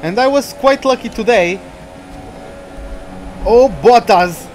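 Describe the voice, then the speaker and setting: A man's voice: extremely high pitch, slow pace, a little hiss or hum. A young man, chatting with viewers while streaming a racing game. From Portugal. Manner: chatty, reads out viewer names, reacts to the race. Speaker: gaming streamer.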